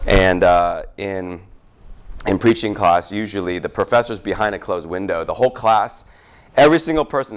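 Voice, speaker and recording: A man with a fairly low voice leads church prayer requests in an easy, conversational way. He fills pauses with "good, good" and "okay." His talk is loose and friendly, not preached. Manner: chatty, warm asides with repeated "good, good". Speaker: pastor. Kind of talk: casual church prayer time.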